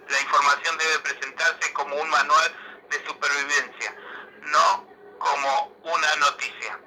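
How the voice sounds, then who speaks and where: A man's voice: fairly high pitch, sharp tone, a little hiss or hum, low-quality recording. A man reads a political manifesto aloud in a declamatory, emphatic way, as a commentator would.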